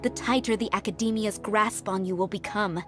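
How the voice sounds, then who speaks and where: An actress playing a composed, enigmatic woman in a video game, speaking in a measured, solemn and persuasive way. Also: mid-range voice, fairly even intonation, soft background music, close to an everyday voice. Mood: solemn, ominous. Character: composed, enigmatic woman preaching judgment and atonement. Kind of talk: dramatic video game voice acting.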